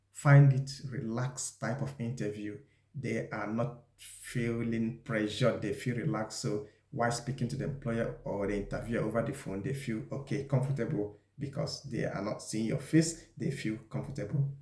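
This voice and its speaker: Mellow-voiced man teaching in an echoey room. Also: medium pitch. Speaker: video presenter giving job interview advice. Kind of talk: instructional talk to camera. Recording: echoey, roomy sound.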